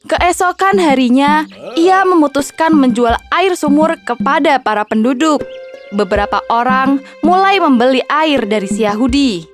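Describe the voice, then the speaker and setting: A woman's voice: high-pitched, animated, moderate pace, faint music behind. A woman reading scripted narration for a children's story.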